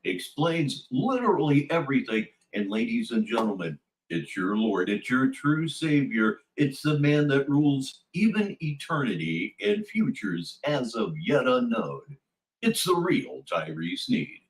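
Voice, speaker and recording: Animated male voice, slow deliberate pace, theatrical, sarcastic, showman-style mocking commentary. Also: medium pitch, low-quality recording.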